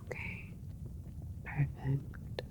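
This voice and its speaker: A woman playing a gentle, admiring alien scientist doctor in a soothing, clinical whispered ASMR roleplay. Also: very slow. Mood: clinical, reassuring.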